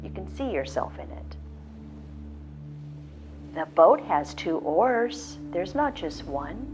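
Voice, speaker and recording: A woman leading a guided visualization, speaking slowly and soothingly with soft pauses. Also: lowish voice, sing-song. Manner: slow, soothing, drawn-out phrasing with soft pauses. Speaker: guided meditation leader. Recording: slightly dull lo-fi audio with faint background music.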